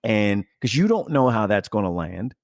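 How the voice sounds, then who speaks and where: Low-voiced man hosting, close dry sound. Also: sing-song, normal speed, darkish tone, no room sound. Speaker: podcast host. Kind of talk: casual conversational interview.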